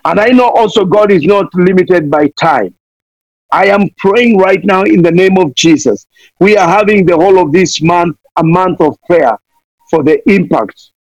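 A man's voice: sits high, moderate pace, no room sound. A man from Kenya, preaching fervently as a missionary guest, pleading again and again and playing on words. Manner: impassioned, repeats pleas, wordplay. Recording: dull lo-fi dry sound.

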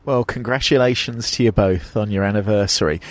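A man's voice: fairly low pitch, a little fast. A male radio host leading a friendly interview, chatty and joking lightly with his guest.

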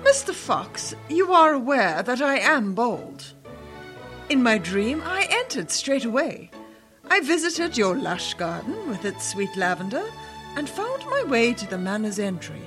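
A woman's voice: on the high side, very animated, unhurried, over music. An actress playing a bold young bride, demure yet defiant, telling her tale in a theatrical fairy-tale radio drama. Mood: slyly insistent.

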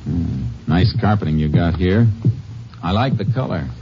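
A man's voice: sits low, animated, moderate pace, background noise. An American man playing a stern, wry Texas Ranger in a 1950s western radio drama, with a dry, terse delivery. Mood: dry, menacing. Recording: dull old 1950s radio recording, music.

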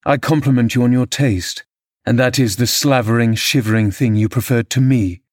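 A man performs a vengeful, violent antagonist from a classic novel. He speaks at a middling male pitch, with sneering contempt and menace. The recording is close and dry. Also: fairly even intonation, moderate pace, no echo, lightly acted.

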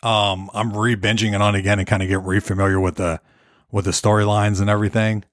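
A hoarse man hosting his own podcast, chatting loosely and rambling from topic to topic. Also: lowish voice, flat delivery, quick pace, no echo, studio quality. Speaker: podcast host. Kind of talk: casual solo podcast rambling. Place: Nashville.

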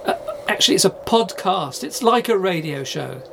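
A man's voice: sits high, animated, normal speed, vocal fry, noisy background. A man playing an earnest, polite university podcast host in a scripted audio drama. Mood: hesitant, polite.

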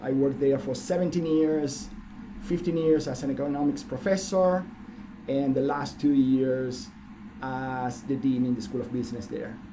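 A man's voice: medium pitch, fairly even intonation, normal speed. A middle-aged man from Argentina, a dean answering interview questions with dry, self-mocking humor and frequent restarts. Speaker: business school dean. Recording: noisy room recording.

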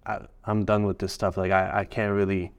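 A man in his thirties from Morocco, a guest trader answering in a relaxed, rambling way. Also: deep voice, moderate pace. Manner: relaxed, rambling, frequent fillers and restarts. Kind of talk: casual interview answers.

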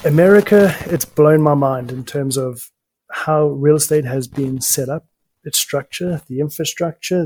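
A man's voice: on the high side, darkish tone, slightly rough, dry recording. A man with a mixed English and South African accent, a real estate agent answering interview questions in a friendly way with fillers like uh. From England, raised in South Africa. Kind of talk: interview conversation.

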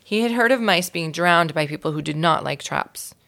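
A woman reading a children's story aloud in an expressive storytelling style, with a creaky voice. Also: fairly low pitch, normal speed. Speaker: volunteer reader of a children's book.

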